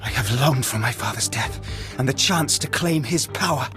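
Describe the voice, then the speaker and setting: Earnest young Sith heir, played by an actor. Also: male voice, medium pitch, lively intonation, moderate pace, over music, lightly acted. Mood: eager, awed. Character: ambitious young nobleman, Sith heir eager for power. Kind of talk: video game voice acting.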